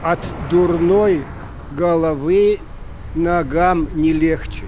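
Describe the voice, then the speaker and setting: A man from Russia, a professor reciting proverbs in a halting, deliberate way, with vehicle noise behind. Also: on the high side, a little mellow. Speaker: language professor. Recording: faint car and outdoor noise.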